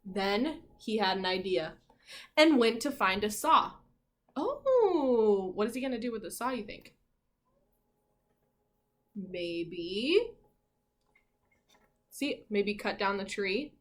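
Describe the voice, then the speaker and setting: A woman teacher reading a picture book aloud to children in an expressive, encouraging way, pausing to ask her listeners questions. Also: medium pitch.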